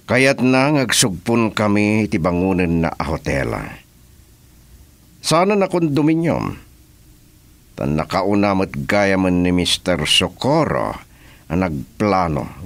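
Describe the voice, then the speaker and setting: A man's voice: low-pitched, very animated, takes it slowly, pauses often, no echo, exaggerated voice. A man with an Ilocano accent playing a powerful, commanding boss in a radio serial. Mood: assured. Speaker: actor in a radio drama.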